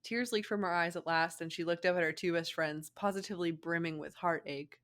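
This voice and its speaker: A woman's voice: fairly low pitch, a little fast. A young adult woman co-hosting a fan fiction reading, narrating the story with casual asides.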